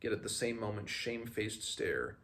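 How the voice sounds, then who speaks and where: Young guy doing a book read-along at home, kinda low gravelly voice, thinks as he goes. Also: animated, normal speed, a bit bright. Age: 20s. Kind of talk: casual book commentary with passages read aloud. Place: small room at home, indoors.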